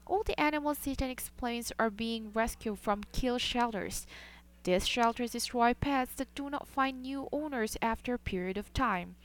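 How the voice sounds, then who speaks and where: A woman with a somewhat high voice reads a scripted news feature. She keeps a measured, even news delivery throughout. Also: clean tone. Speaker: news reporter.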